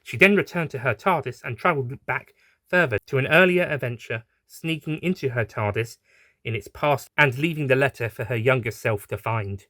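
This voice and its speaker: A man's voice: mid-range voice, normal speed, pushed and bright, no room sound. A man reading a scripted adventure story as its narrator.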